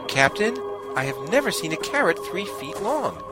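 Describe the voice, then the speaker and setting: A woman's voice: on the low side, very animated, moderate pace, over music. A woman playing an earnest rabbit doctor, formal and theatrical, in a sci-fi parody. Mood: amazed. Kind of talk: sci-fi parody audio trailer.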